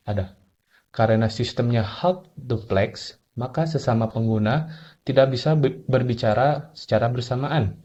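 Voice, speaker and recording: Young man, husky mellow voice, list-like reading, muffled lo-fi recording. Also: mid-range voice. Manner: list-like reading with small stumbles. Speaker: student presenter. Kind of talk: reading a class presentation.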